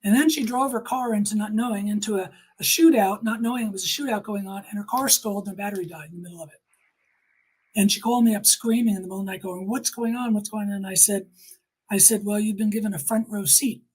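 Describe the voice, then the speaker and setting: A woman's voice: mid-range voice, quick pace, darkish tone, husky, poor audio. A middle-aged woman giving earnest, emphatic personal testimony in conversation, quoting people as she tells her story.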